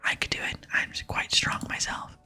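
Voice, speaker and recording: A woman's voice: moderate pace, pushed and bright, soft background music. Woman whispering as an eager, polite adventurer. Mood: earnest, concerned. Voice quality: breathy whisper. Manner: polite, earnest. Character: eager, polite young adventurer, the game's player hero. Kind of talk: whispered ASMR reading of game dialogue.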